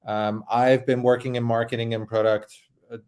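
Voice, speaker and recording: A man's voice: medium pitch, flat delivery, normal speed, darkish tone, smooth. Chatty Hungarian-accented man on a video call. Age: middle-aged. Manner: chatty, frequent restarts and fillers. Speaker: startup co-founder guest. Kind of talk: casual interview talk. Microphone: video call webcam.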